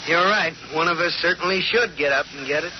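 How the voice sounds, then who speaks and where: A man's voice: fairly high pitch, a little fast, muffled recording, noisy background, natural voice. A man acting an exasperated, grumbling husband in a 1940s radio comedy, on an old recording. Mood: sleepy, resigned.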